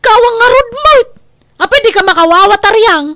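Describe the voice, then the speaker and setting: An actress playing a hard-up, combative older sister in an Ilocano radio drama, emotional and argumentative. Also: female voice, very high voice, animated, moderate pace, pushed and bright, heavily acted. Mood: furious.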